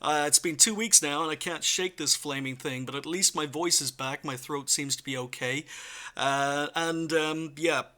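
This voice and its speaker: A man's voice: on the high side, normal speed, pushed and bright. A man hosting a gaming video, chatting casually with many fillers while getting over the flu. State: recovering from flu, chest infection. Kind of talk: casual gameplay commentary and history talk.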